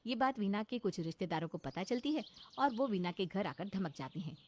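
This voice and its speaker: A woman's voice: medium pitch, expressive, a little fast, darkish tone. A woman storyteller reading a scripted narration of a family moral tale.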